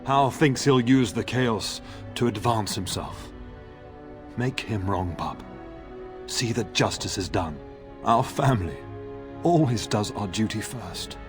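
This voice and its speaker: An actor playing a gravely wounded middle-aged noble father in a video game, halting and labored, loving and dutiful. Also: on the low side, lively intonation, over music. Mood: solemn, tender. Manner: halting, pained, labored delivery. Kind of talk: dramatic video game acting.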